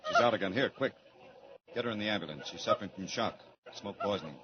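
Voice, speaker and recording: An actor playing a brisk, dismissive male ambulance doctor in a 1940s radio drama. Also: fairly low pitch, lively intonation, lo-fi sound, close to an everyday voice. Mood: curt. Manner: curt, matter-of-fact. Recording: scratchy 1940s radio transcription.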